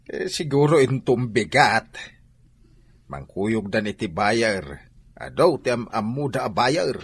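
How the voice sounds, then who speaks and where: A middle-aged Ilocano man with a husky, medium voice. He is a rustic, tradition-bound father in a radio drama, insistent with family and deferential to his friend. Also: medium pitch, very animated, slow pace, heavily acted. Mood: hesitant.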